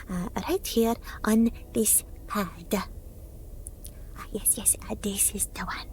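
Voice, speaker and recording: A woman performing a gentle ASMR roleplay as a friendly alien medic, often whispering, halting and deliberate, referring to herself in the third person. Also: medium pitch, slight background noise.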